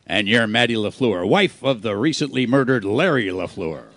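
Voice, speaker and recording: A man playing a wisecracking, deadpan private detective in an old-time radio style play. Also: mid-range voice, moderate pace. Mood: confident. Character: wisecracking hardboiled male private detective narrating his case.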